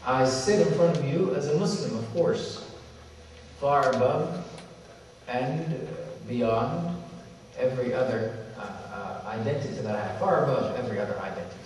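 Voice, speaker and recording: A man from Somalia giving a religious lecture to an audience, speaking in a measured, repetitive way with slow emphasis and Arabic recitations. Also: mid-range voice, a little mellow, slight background noise. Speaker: symposium speaker. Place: large hall with audience. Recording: echoey hall from far off.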